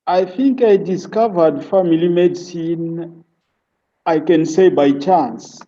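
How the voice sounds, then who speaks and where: A man's voice: a little high, slow pace, dark tone. A middle-aged man with a Congolese accent, a family physician recounting his career as an interview guest over an internet call. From Democratic Republic of the Congo. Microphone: online call on a weak connection. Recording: muffled, distant internet call audio.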